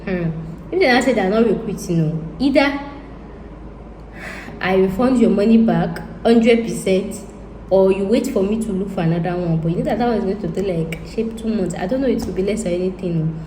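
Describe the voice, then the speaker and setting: A woman's voice: medium pitch, a little fast, dark tone. A Nigerian woman talking casually to her viewers, thinking aloud and repeating herself as she rambles. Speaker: YouTuber. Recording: echoey room with faint ambient noise.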